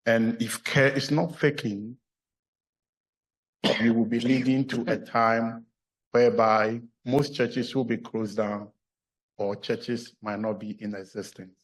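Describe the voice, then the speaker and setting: A man from Ghana with a Ghanaian accent, a clergyman giving a measured, earnest formal address at a church meeting. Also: medium pitch, steady tone, low-quality recording.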